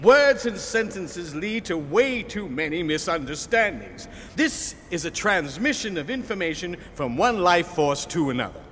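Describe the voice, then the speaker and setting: A man's voice: high voice, big pitch swings, measured pace, hardly any pauses, a bit bright, exaggerated voice. A man voicing an impatient, sarcastic talking lightning, a wordy life force in a comic sci-fi audio play. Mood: exasperated. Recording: long reverb, faint background noise.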